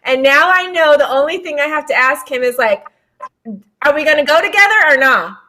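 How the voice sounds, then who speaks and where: High, bright woman telling a sports story. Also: a little fast, poor audio. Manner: animated storyteller acting out players' lines. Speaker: co-host. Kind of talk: casual sports chat.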